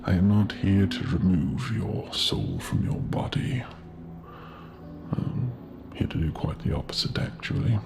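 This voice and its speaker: A man playing Death as a courteous, gentle figure in a soft-spoken ASMR roleplay, formal, halting and politely deadpan. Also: low-pitched, creaky, music in the background, exaggerated voice. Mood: reassuring, awkward.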